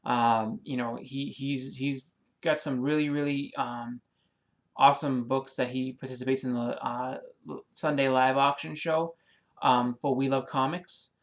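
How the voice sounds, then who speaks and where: A man's voice: medium pitch, fairly even intonation, unhurried. A man with a Boston accent, rambling through a casual vlog with many ums and you-knows, friendly and apologetic as he thanks new subscribers. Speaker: comic and movie YouTuber.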